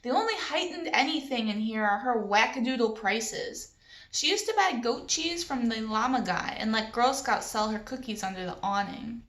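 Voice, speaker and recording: A woman's voice: on the high side, expressive, normal speed, a bit bright. Scornful, griping boy for a children's audiobook, played by a woman, echoing room sound. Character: scornful, sarcastic boy, narrator's best friend.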